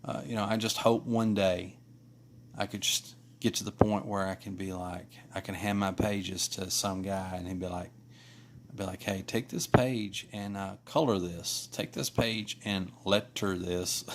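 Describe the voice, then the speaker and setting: Rambling man, lowish voice. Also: steady tone, a little slow, slight background noise. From Kentucky. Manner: rambling, many restarts and fillers. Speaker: artist streaming live. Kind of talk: casual chat while drawing.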